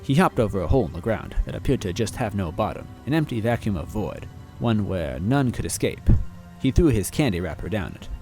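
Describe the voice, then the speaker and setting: A man with a low voice reads a story aloud in first person, deadpan and mock-earnest. Faint music plays beneath him. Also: a little fast. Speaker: story reader.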